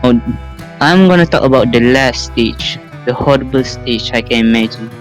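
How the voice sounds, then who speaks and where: A man hosts a casual podcast conversation. He talks in a halting, rambling way, and faint plucked guitar music plays behind his voice. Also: medium pitch. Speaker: podcast host. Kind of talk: casual chat.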